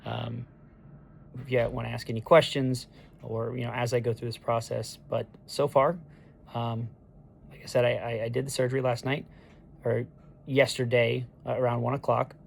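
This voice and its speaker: A hoarse man in his thirties, his voice gravelly and worn the day after surgery. He talks in a flat, level way, stopping and restarting often with ums. Faint noise sits behind him in what sounds like a hospital room. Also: mid-range voice. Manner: halting, with many ums and restarts. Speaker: patient sharing a recovery diary. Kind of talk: casual video diary.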